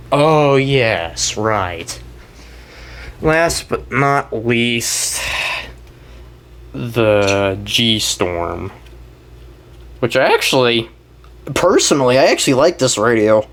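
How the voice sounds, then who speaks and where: A man hosting a casual show-and-tell, talking in a rambling, halting way and often trailing off mid-thought. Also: mid-range voice, fairly bright tone, slight background noise. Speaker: video host and weather radio collector.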